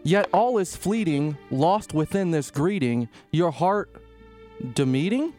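A man on a comedy radio show, reading aloud haltingly and stumbling over words. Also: fairly high pitch, animated, darkish tone, faint music behind. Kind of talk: halting read-aloud and banter.

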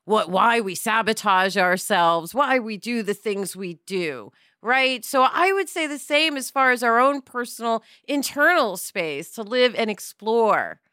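A woman's voice: fairly high pitch, animated, measured pace, hardly any pauses, sharp tone, no echo, clean studio sound. A woman co-hosting a podcast in casual chat, reflective, often ending thoughts with a rhetorical "right?". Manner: reflective, asks rhetorical questions. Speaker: podcast co-host.

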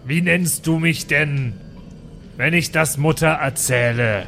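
A man voicing a needy imprisoned dwarf with a drawn-out, plaintive manner in an improvised role-play. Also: on the high side, gravelly, bright, forceful tone, exaggerated voice. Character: needy, slow-talking imprisoned male dwarf, claiming brotherhood.

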